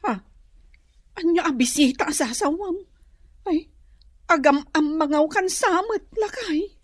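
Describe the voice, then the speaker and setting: A woman acting as a brisk, excitable wife with a high voice. She has an Ilocano accent and sounds close and dry, in radio drama style. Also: dry recording. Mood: dismissive.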